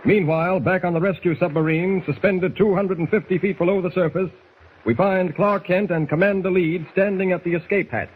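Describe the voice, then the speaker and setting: An actor as a suspenseful announcer, narrating a 1940s radio serial dramatically. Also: male voice, high voice, steady tone, a little fast, natural voice. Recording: tinny noisy 1940s radio recording.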